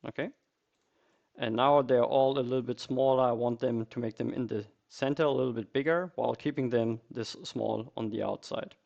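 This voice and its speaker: Man calmly explaining software step by step. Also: mid-range voice, moderate pace, vocal fry, highs cut off. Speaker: software tutorial presenter. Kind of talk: step-by-step screen tutorial narration.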